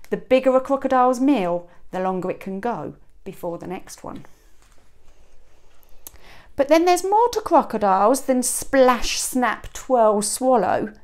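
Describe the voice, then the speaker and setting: A woman in her thirties reading a children's picture book aloud like a teacher, with expressive emphasis and dramatic pauses. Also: fairly high pitch. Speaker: teacher reading to a young class. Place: small classroom, indoors. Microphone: clip-on lapel mic.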